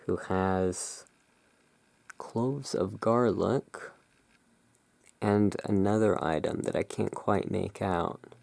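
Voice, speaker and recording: Very slow, low, creaky ASMR host. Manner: hushed, unhurried, musing. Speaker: host, playing curiosity emporium owner. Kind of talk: soft-spoken ASMR roleplay, reading and describing tarot cards.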